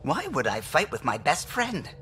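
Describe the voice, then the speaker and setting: An actor voicing an eager, excitable male alien starship pilot in a video game, with a chirpy, teasing manner. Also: a little high, moderate pace, a little hiss or hum, heavily acted. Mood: eager, playful. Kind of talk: video game cutscene acting.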